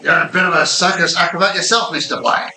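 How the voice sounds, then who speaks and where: A man's voice: sits high, steady tone, quick pace, sharp tone, faint music behind, full character voice. An amateur actor playing a folksy patrolman in a community radio play, recorded in an echoey room. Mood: amazed. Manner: wondering, folksy. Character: folksy, amazed male beat patrolman.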